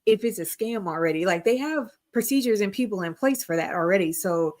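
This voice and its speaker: A woman's voice: mid-range voice, lively intonation, fairly quick, darkish tone. A woman co-hosting a casual live-stream discussion, explaining earnestly and checking her notes as she goes. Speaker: co-host.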